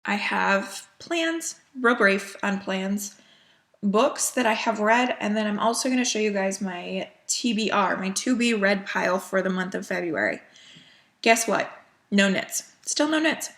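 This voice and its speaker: A woman's voice: mid-range voice, lively intonation, a little slow, fairly bright tone. A woman chatting casually as a cross-stitch vlogger, a little sniffly from a cold, with lots of ums and small laughs. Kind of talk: casual chat.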